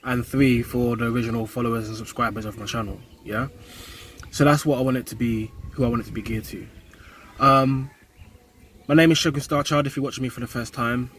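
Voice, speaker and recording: A man's voice: mid-range voice, steady tone, brisk, fairly bright tone, a little hiss or hum. Earnest British vlogger man, London accent. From United Kingdom. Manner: earnest, repeats words while finding phrasing, rising "yeah?" tags. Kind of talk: casual talk to camera. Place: home in Kenya.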